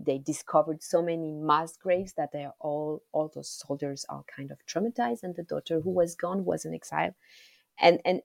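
Low-voiced woman, halting speech. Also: darkish tone, no echo. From Rwanda. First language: Kinyarwanda. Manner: halting, repeats words as she searches for them. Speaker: author, guest. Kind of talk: interview answers about her novel.

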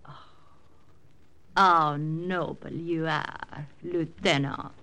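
A woman's voice: low-pitched, steady tone, drawn-out words, sharp tone, heavily acted. An actress in a 1940s radio drama playing a flirtatious French woman with a French accent, teasing and lilting. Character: coy French woman, teasing spy helper.

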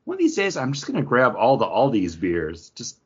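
A man's voice: medium pitch, huge pitch swings, fairly quick, husky, lo-fi sound. A man co-hosting a podcast in casual chat, with a joking manner. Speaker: podcast co-host.

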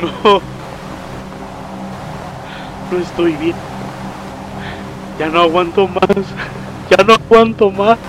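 Un hombre que interpreta a un vigilante nocturno, padre de familia, aterrado, en un relato de terror dramatizado. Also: male voice, medium pitch, fairly even intonation, normal speed, noisy background, natural voice. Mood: desperate, tearful. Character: terrified night watchman, a father breaking down in panic. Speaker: storyteller in a horror story dramatization. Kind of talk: dramatized first-person horror narration. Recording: music behind the voice.

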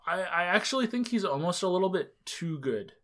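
Casual co-host, mid-pitched, rambling delivery full of fillers. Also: expressive, brisk, a bit bright. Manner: rambling, heavy on filler words. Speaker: podcast co-host. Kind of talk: casual movie review chat.

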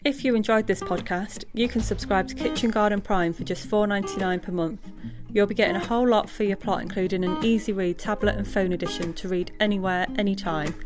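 A woman reading a subscription promo in an upbeat, brisk sales delivery. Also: medium pitch, fairly even intonation, over music. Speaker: announcer.

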